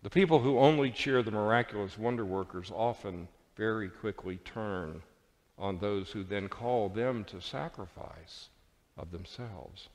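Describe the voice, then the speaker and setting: A man preaching a sermon with deliberate, dramatic phrasing and pauses. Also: lowish voice. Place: large church sanctuary with congregation. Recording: reverberant church hall.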